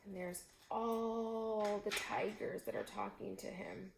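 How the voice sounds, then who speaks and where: A woman narrates a children's storybook at an unhurried pace. Her pitch moves a lot, with dramatic phrasing made for young listeners. The room is echoey, with faint noise behind her. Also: animated, vocal fry. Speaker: storybook reader. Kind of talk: children's read-aloud narration with brief asides about pictures.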